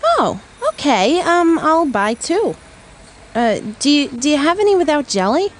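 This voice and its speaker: A chipper cartoon woman reporter, very high in pitch. She speaks politely and conversationally, with big swings of intonation. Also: sing-song, unhurried. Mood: polite, casual. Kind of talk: animated comedy dialogue.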